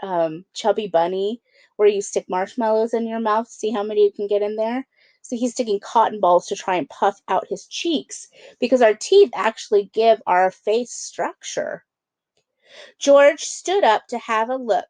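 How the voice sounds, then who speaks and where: A woman's voice: mid-range voice, normal speed, a little mellow, dry recording. A woman teacher reading a picture book aloud, adding conversational explanations and check-in questions for children.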